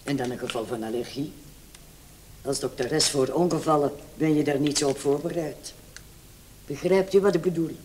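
A man playing a brisk, condescending emergency doctor on night duty in a vintage radio play. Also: a little high, lightly acted. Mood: brisk, questioning. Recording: old radio recording with clear hiss.

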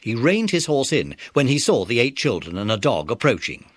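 A man's voice: mid-range voice, sing-song, normal speed, a little hiss or hum. A man with a British accent narrating a scripted children's story.

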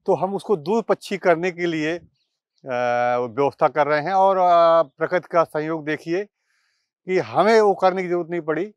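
A man, giving halting explanatory commentary to camera with deliberate pauses. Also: a little high, no room sound. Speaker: presenter. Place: outdoors by water and trees.

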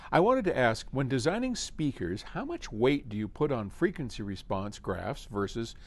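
A man in his seventies speaks to camera in an indoor workspace. He explains in a conversational, unhurried way, working out his thoughts as he goes. His pitch sits in the middle range for a man. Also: big pitch swings. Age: 70s. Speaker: company head hosting a question-and-answer video. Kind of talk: casual explanatory talk to camera. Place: large indoor office workspace with hard floor.

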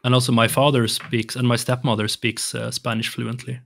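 A man's voice: medium pitch, flat delivery, moderate pace, vocal fry, a bit bright. A young man from Sweden, Swedish his first language, speaking English as a podcast guest in a home room on a studio mic, hesitant with many restarts. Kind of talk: casual interview chat. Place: home room with shelves and colored lights. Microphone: large studio mic on arm, headphones.

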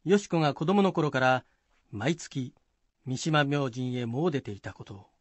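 A man's voice: mid-range voice, animated, normal speed, dry recording. A man giving scripted storytelling narration of a historical tale.

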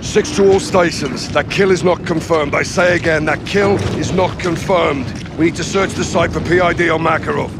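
Actor as blunt British special forces captain. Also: male voice, a little high, steady tone, moderate pace, few pauses, close to an everyday voice. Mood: insistent. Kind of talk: video game dramatic acting. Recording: loud music and vehicle noise behind.

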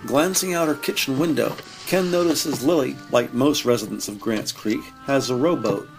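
A man's voice: mid-range voice, moderate pace, low-quality recording. A man narrating a scripted audio drama in descriptive prose. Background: background music.

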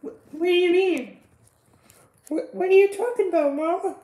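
Excitable teen schoolgirl played by a woman, bratty and bubbly, very high voice, roomy amateur roleplay sound. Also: moderate pace. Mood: shocked, hurt. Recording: roomy, echoing home recording.

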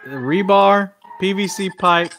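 A friendly man hosts a live video chat about fishing, laughing easily between remarks. His voice is a bit high for a man. He speaks from a room into a webcam. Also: slow, a bit bright. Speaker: live stream host. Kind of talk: casual chat. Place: room indoors.

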